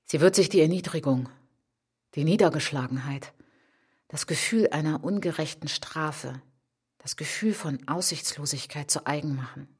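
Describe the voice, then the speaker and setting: Eine Schauspielerin spielt in einem literarischen Hörspielmonolog eine stolze, verletzte junge Französin, die nachdenklich, eindringlich und bedacht von sich erzählt. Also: female voice, deep voice, a little mellow, breathy edge. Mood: bitter, subdued. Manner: intense, deliberate, sometimes dropping to a whisper. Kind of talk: literary radio drama monologue.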